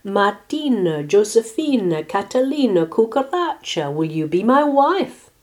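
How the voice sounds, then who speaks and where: Storybook rooster suitor played by a woman: puffed-up, bossy male bird, florid and forceful in delivery. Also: mid-range voice, sing-song, unhurried, voice pushed up. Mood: pompous, courting. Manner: florid, bossy, with Spanish exclamations. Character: vain, pompous male rooster suitor, quick to anger.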